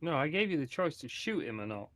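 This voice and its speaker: A man's voice: fairly high pitch, very animated, quick pace, fairly bright tone. A man playing a tabletop game, speaking casually in short, blunt lines.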